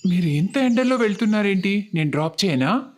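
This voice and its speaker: A woman's voice: medium pitch, animated, fairly quick, a little mellow, pitched below the performer's own voice, close to an everyday voice. A woman voicing a polite, uneasy young husband in a cartoon story, with a reserved manner.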